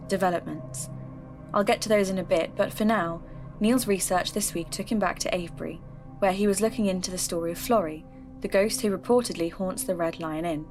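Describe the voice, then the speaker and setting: A woman playing a troubled folklore investigator, narrating her podcast in an earnest, measured and formal way. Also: mid-range voice, a little mellow, lightly acted. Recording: music under the voice.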